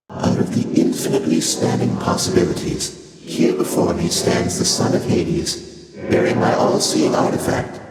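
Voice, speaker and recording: An ancient primordial god from a video game, with a very low, stately voice. The delivery is detached, curious and formally archaic. It resounds with otherworldly, cavernous reverb over loud music. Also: male voice. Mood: cryptic, dryly amused. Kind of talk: video game voice acting, measured formal monologue.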